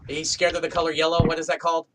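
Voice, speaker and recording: A man's voice: a little high, completely flat delivery, rapid-fire. A man in casual joking group chat, wry and foul-mouthed. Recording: distant mic, clearly noisy background.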